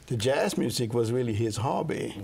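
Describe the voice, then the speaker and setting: An older man with a Swedish accent, a guest in a TV studio interview, talking in a halting way with fillers and restarts, on a lapel mic. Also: medium pitch, expressive, vocal fry, darkish tone, breathy edge. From Sweden. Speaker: guest, jazz pianist and record label manager. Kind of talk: casual interview chat. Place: TV studio set.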